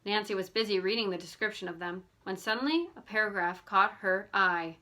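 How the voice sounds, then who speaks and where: A woman reading a novel aloud as an amateur audiobook narrator, in an expressive storytelling style. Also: medium pitch, a little fast.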